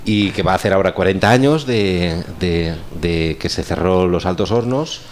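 A man with a Spanish accent from Spain talks casually as a guest instructor, halting and often digressing or correcting himself. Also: low voice, a little hiss or hum. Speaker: guest, course instructor and audio documentary maker. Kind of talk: casual round-table talk.

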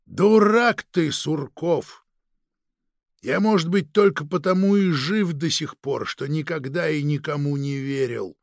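A male narrator acts out a domineering police chief in a crime audiobook. The voice sits fairly high, with a gravelly creak and a very dry, close sound. He interrogates with suspicion and hard authority. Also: moderate pace, few pauses, dry recording, lightly acted. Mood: firm, cold. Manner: commanding, interrogating, barking orders. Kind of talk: dramatic audiobook character voice.

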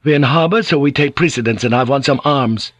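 A man's voice: mid-range voice, steady tone, normal speed, dry recording, lightly acted. A man voicing a truculent, resentful merchant seaman in an audiobook, hissing and arguing indignantly.

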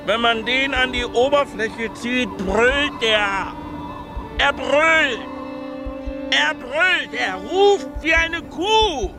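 An actress playing an eccentric, lovelorn and obsessive suitor in a radio play monologue, declaiming and calling out. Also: female voice, sits high, expressive, drawn-out words, heavily acted. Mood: declaiming, odd. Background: music.

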